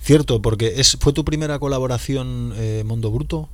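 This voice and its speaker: A man hosting a relaxed interview, asking short questions and joking with his guest. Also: mid-range voice, moderate pace, no room sound. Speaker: podcast host. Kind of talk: casual interview chat.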